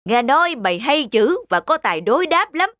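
A woman's voice: high-pitched, very animated, fairly quick, a bit bright, no echo, clean studio sound. A woman doing a pompous old scholar bully. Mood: haughty. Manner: haughty, scolding. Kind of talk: cartoon voice acting. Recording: music playing under voice.

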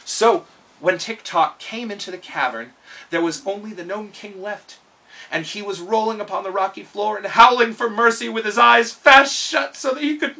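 A man's voice: sits high, sharp tone, far from the mic, low-quality recording. A man in his thirties reading a children's story aloud with expression. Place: small living room, indoors.

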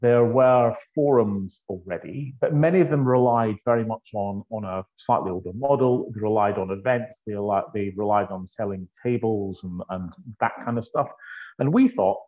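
A Scottish man in his fifties talks as a guest on a video call, with a lowish voice. He tells his story in a chatty, anecdotal way. The sound is muffled and lo-fi. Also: normal speed. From northeast Scotland. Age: 50s. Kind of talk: conversational interview. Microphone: earphone mic on a video call. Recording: dull lo-fi video call audio.

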